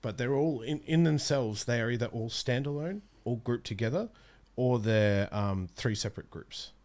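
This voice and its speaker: A man's voice: mid-range voice, expressive, normal speed, darkish tone. A man chatting casually about video games as an interview guest, often circling back and correcting himself as he explains. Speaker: interview guest, amateur voice actor. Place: small indoor streaming room. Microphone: close studio microphone.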